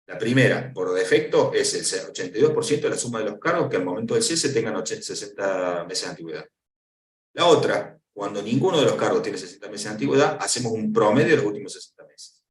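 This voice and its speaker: A man's voice: on the low side, expressive, quick pace. A man with an Argentine Spanish accent giving a conference lecture, explaining step by step and posing questions to answer himself. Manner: didactic, asks and answers own questions. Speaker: lecturer on pension law. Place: lecture hall. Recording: echoey hall, voice from far off.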